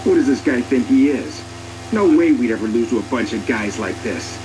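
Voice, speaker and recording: An actor playing a cocky, defiant soldier in a video game cutscene, taunting with a mocking swagger. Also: male voice, mid-range voice, expressive, normal speed, noisy background, lightly acted. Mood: scornful. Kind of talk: dramatic game cutscene dialogue.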